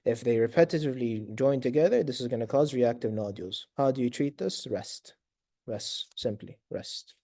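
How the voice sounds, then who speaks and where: A man lecturing medical students in a raspy voice, quizzing them and praising answers, with Arabic fillers between points. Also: mid-range voice, normal speed, dark tone, dry recording, clean studio sound. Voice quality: raspy from long talking. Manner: sprinkles Arabic fillers like tamam, inshallah.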